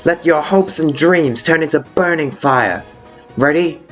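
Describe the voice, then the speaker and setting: A man's voice: fairly high pitch, a little slow, fairly bright tone, lo-fi sound. A man voicing a brash, hot-headed female monster guard captain with blunt, informal delivery in a video game fan dub. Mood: fired up. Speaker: character voice actor in a fan dub. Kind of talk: dramatic video game dialogue. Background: background music.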